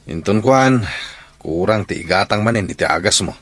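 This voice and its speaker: A man's voice: on the low side, animated, normal speed, a bit bright, dry recording. A man playing a stern, controlling middle-aged father in a radio drama, speaking firmly. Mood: instructing. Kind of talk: Ilocano radio drama acting.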